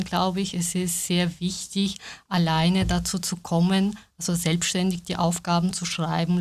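A woman's voice: fairly low pitch, a little mellow. A woman whose first language is not German, speaking hesitantly in a casual discussion. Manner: hesitant, with fillers.

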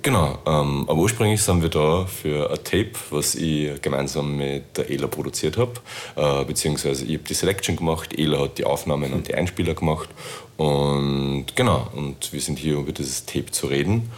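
An Austrian man talking casually as an interview guest, halting often as he looks for his words. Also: extremely low pitch. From born in Istanbul, grew up in Linz, Austria. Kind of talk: casual conversational interview talk.

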